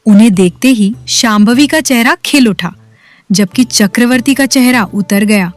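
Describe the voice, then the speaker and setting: A woman narrating a cartoon story in an even, storytelling manner. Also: a little high, a little slow, no room sound.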